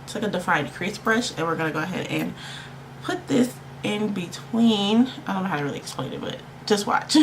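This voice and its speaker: A woman's voice: mid-range voice, expressive, a little fast. A congested, slightly tired woman hosting a makeup tutorial, chatting casually and playfully in slang, often repeating words for emphasis. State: tired, under the weather with allergies. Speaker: beauty vlogger and makeup tutorial host. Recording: clearly noisy home recording.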